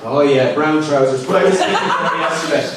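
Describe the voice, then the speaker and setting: A young man from Ireland with an Irish accent, telling deadpan one-liners in a live stand-up set, pausing before each punchline. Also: fairly high pitch. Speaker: stand-up comedian. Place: live comedy gig with an audience laughing. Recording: distant, roomy live recording with audience noise.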